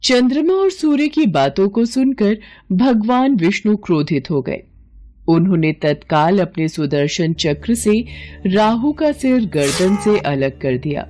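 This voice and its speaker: A woman's voice: mid-range voice, animated, unhurried, warm tone. A woman narrating a scripted mythological bedtime story as a storyteller.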